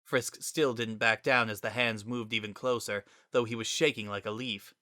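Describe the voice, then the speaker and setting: A man's voice: on the low side, steady tone, normal speed, pushed and bright, dry recording, clean studio sound. A man narrating a scripted dramatic story as its narrator.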